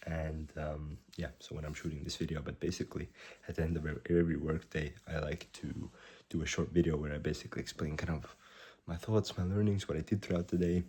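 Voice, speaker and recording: A man in his twenties, a startup founder, recording a rambling video diary at a hushed volume while slightly sick with a cough. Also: extremely low pitch, fairly even intonation, breathy edge. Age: 20s. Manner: rambling, halting, self-correcting; keeps his voice down.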